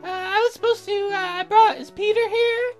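A woman voicing a brash, excitable young female songwriter in a visual novel, with theatrical exclamations. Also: very high-pitched, normal speed, faint music behind, voice pushed up. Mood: chirpy, polite.

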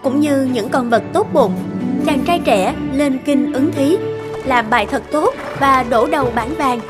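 A woman's voice: high-pitched, measured pace. A woman narrating a fairy tale in a scripted storytelling style. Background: loud background music.

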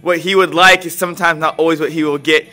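A man's voice: fairly high pitch, fairly even intonation, quick pace, music in the background. A man in a large indoor gym, joking and teasing a departing member on camera. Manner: teasing, playful.

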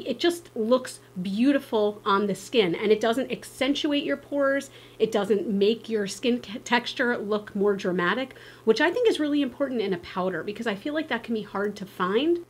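Bubbly, chatty woman, slightly hoarse. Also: on the high side, a little mellow. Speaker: beauty vlogger. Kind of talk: casual vlog with sponsor read. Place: backyard outdoors, then indoor room.

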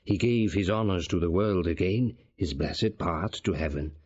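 An actor playing a gentle, respectful gentleman attendant in a Shakespearean audio drama, with a grave, measured manner. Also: dull audio. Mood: solemn, gentle. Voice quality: low, husky.

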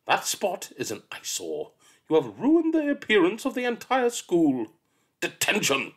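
Man doing a shrill furious old headmistress. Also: huge pitch swings, measured pace, exaggerated voice. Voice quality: shrill, bellowing. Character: furious elderly female headmistress, cruel and shrill. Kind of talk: audiobook character voice.

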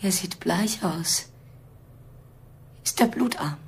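A woman's voice: lowish voice, slow pace, vocal fry, slight background noise. A woman playing a cool, suspicious, hard-bargaining wife in a radio play, curt and matter-of-fact. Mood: cool, appraising.

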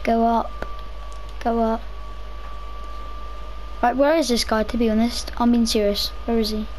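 A young woman chatting casually over her own gameplay, rambling to herself, her voice a little rough from a cough. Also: fairly high pitch, flat delivery, unhurried, a little mellow, a little hiss or hum, rough recording. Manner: chatty, rambling, self-talking. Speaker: gaming video creator.

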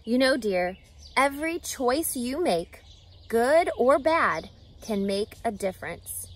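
A woman's voice: a little high, very animated, slow pace, full character voice. A woman voicing a kind, approving farm mother in a children's storybook read outdoors. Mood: proud, gentle. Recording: outdoor ambient background noise.

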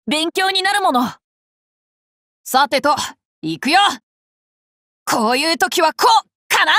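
A boyish young warrior king for an anime game, confident and curious. The voice is high and bright, with unhurried, courteous phrasing. It sits close and dry over game music. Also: no room sound, exaggerated voice. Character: eager, polite boy king and mounted swordsman. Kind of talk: Japanese anime game battle voice lines.

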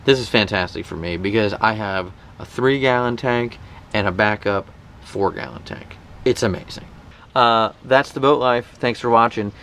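Male vlogger, 30s, lowish voice, chatty and informal, faint outdoor noise behind. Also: moderate pace, a bit bright. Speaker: boating vlog host. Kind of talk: casual sponsored talk to camera. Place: outdoors in a boat cockpit at a marina.

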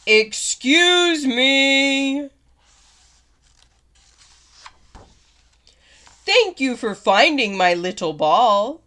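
A woman voicing a huge, gentle, lonely storybook whale with a booming, plaintive, drawn-out delivery. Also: expressive, exaggerated voice. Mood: booming, polite. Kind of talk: storybook character voice.

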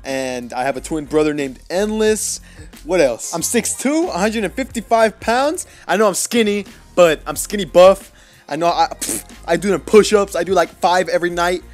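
Joking, excitable nineteen-year-old guy. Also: high voice, moderate pace, soft background music. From Mexico. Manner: excitable, joking, rambling with lots of fillers. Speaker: mobile gaming YouTuber. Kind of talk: casual gameplay commentary voice-over. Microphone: voice-over recorded apart from the gameplay.

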